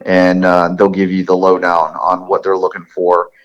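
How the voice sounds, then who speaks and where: A man with a fairly low voice co-hosts a podcast. He talks casually, with a laid-back and agreeable manner. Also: completely flat delivery, normal speed, dull audio. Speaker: podcast co-host. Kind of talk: casual chat.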